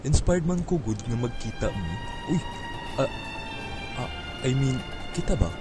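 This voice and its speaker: A man's voice: mid-range voice, big pitch swings, unhurried. A man acting as a distracted, defensive young boyfriend in a radio drama. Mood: affectionate, flustered. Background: music.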